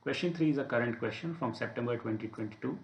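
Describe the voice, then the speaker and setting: Male exam tutor, mid-pitched, methodical walkthrough delivery, noticeably roomy sound. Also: quick pace, creaky. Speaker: exam preparation instructor. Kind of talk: explanatory exam paper walkthrough. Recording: echoey room.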